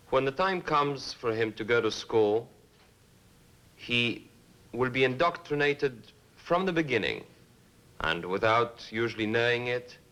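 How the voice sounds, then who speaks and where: A man, an army corporal, calmly giving a scripted explanation on an old film soundtrack. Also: on the low side. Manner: calm, explanatory.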